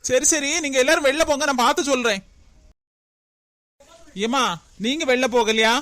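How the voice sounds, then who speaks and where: A woman playing a brisk, high-voiced doctor. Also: fairly bright tone, no room sound. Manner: brisk, ordering.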